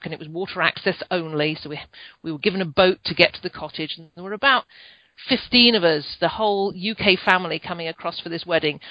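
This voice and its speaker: A woman's voice: fairly low pitch, no echo. An older woman from the United Kingdom with a British accent, telling her story as a chatty podcast guest who often restarts her sentences. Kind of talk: conversational interview storytelling. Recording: muffled lo-fi dry sound.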